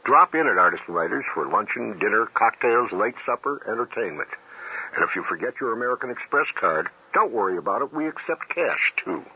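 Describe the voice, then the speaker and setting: A man's voice: fairly low pitch, animated, a little fast, slightly rough. A man, a restaurant owner, speaking in a radio ad in a wry, self-deprecating way. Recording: dull old radio broadcast.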